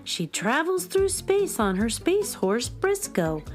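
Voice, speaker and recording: Eager student fan in children's radio play: high, unhurried, highly animated, emphatic explanations. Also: female voice, soft background music, exaggerated voice. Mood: admiring. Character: eager young music student, knowing adoring fan. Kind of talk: children's radio drama acting.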